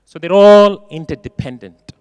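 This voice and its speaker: A man giving a keynote talk in a large conference hall, expressive and acting out quoted voices, repeating phrases for emphasis. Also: high-pitched, moderate pace, clean tone. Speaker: keynote speaker.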